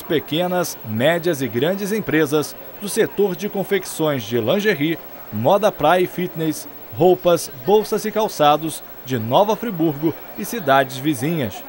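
A man reading a scripted local news report in a formal, measured voice-over. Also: on the high side, animated, slight background noise.

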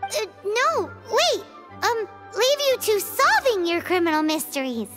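A woman's voice: extremely high pitch, sing-song, unhurried, music in the background, exaggerated voice. A woman voicing a tiny floating girl companion, chirpy and boastful, who talks about herself in the third person. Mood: flustered. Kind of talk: game dialogue.

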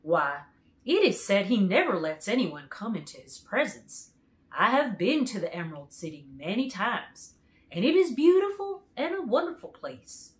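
Woman voicing an emphatic storybook countryman, lively swings. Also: mid-range voice, close to an everyday voice. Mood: awed, emphatic. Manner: emphatic, deliberate storybook delivery. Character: knowledgeable countryman, emphatic, awed by a great wizard.

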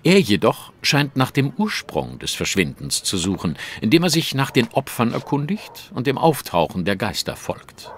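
An actor playing a shrewd, brooding master detective in a German audio drama, speaking in a measured, articulate, formal way. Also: male voice, medium pitch, big pitch swings, lightly acted. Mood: grave, pondering.

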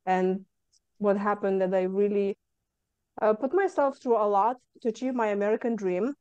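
Woman, young adult, Lithuanian accent, coach as guest, warm smooth voice, earnest, video call. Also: mid-range voice, steady tone, moderate pace, no room sound, highs cut off. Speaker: guest, stress management coach. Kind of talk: casual interview chat. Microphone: video call webcam.

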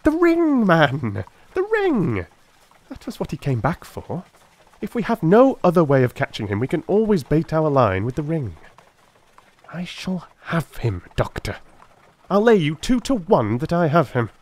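A man playing a brilliant, brisk detective in a dramatised storybook, commanding, precise, excitable and impatient. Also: on the high side, pitched above the performer's own voice, full character voice. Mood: excited, elated.

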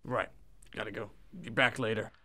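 Man acting brooding gravelly alien warrior. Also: lowish voice, fairly even intonation, moderate pace, sharp tone, exaggerated voice. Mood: tired, curt. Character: brooding battle-worn alien werewolf warrior, defiant and weary. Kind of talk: science-fiction audio drama acting.

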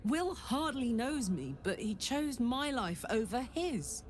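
A woman's voice: on the high side, sing-song, slow pace, slight background noise. A woman playing a wry young tiefling who reflects on being saved. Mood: reflective.

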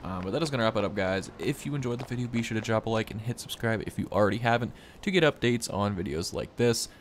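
A man's voice: fairly low pitch, quick pace, a little hiss or hum. A young man hosting a gaming video, giving casual, rambling showcase commentary in an informal, easily impressed way. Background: game music.

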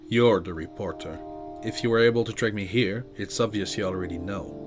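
An actor playing a weary, guarded vampire mentor in an amateur audio drama. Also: male voice, lowish voice, fairly quick, over music, natural voice. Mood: guarded, calm.

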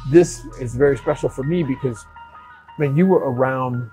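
A man's voice: mid-range voice, normal speed, dark tone, soft background music, poor audio. A man in his thirties hosting a podcast interview, asking eager questions in casual slang inside a boxing gym. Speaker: podcast host. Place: boxing gym, seated in ring.

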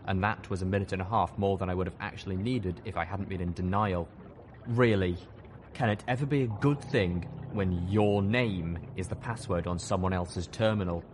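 A man's voice: low voice, slight background noise. A man reading scripted first-person fiction as an audiobook narrator.

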